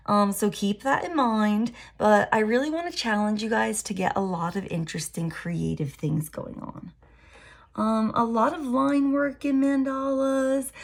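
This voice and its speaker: A woman art teacher with a raspy voice, thinking aloud in a relaxed way as she draws a mandala at a desk. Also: fairly high pitch. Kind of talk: drawing demo tutorial.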